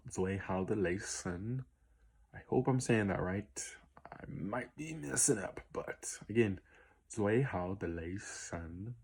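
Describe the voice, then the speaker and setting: Late-20s male musician vlogger, low, slightly hoarse voice, loose and unscripted, spells out names slowly. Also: a little mellow. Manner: easygoing, rambling with many ums and spelled-out names. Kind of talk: casual Q&A vlog.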